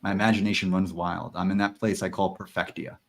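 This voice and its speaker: A man's voice: deep voice, steady tone, a little fast, gravelly. A man hosting a podcast, speaking earnestly and enthusiastically about ideas. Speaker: podcast host. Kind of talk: casual podcast chat.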